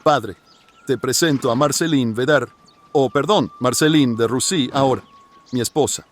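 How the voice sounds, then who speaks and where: An actor playing a devoted young son, formal, eager and affectionate, in a dramatized horror audiobook. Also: male voice, medium pitch, moderate pace, lightly acted. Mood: happy, proud. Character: devoted young son, courteous newlywed writer.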